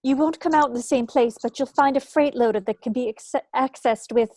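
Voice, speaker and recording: A high-pitched woman reading as a scientist in a horror script, principled and weighed down by guilt. She is defiant and earnest, as in an informal table read. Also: fairly quick, a little mellow. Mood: grave, urgent.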